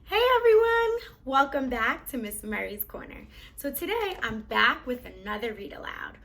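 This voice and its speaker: A woman hosting a read-aloud, telling a children's storybook in an animated, theatrical way. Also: on the high side, moderate pace, creaky.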